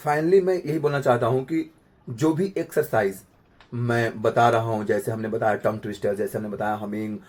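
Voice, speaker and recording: A man with a slightly husky voice, giving an informal acting lesson in an emphatic way, repeating phrases and checking in with rhetorical questions. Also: medium pitch, steady tone, normal speed, a little mellow. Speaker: acting coach.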